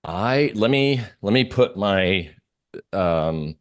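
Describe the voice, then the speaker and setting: A man giving casual market commentary as an interview guest, explaining in halting phrases with frequent restarts. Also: sits low, lively intonation, no echo, clean studio sound.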